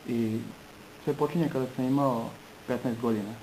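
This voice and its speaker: A man from Serbia giving an earnest personal testimony in short phrases, pausing between them for an interpreter. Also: mid-range voice, fairly even intonation, dark tone, a little hiss or hum, poor audio.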